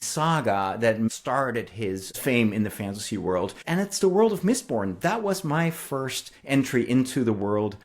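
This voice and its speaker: A man's voice: medium pitch, big pitch swings, measured pace, hardly any pauses. A man co-hosting an enthusiastic podcast discussion about books, explaining things in an effusive way. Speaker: co-host and writer.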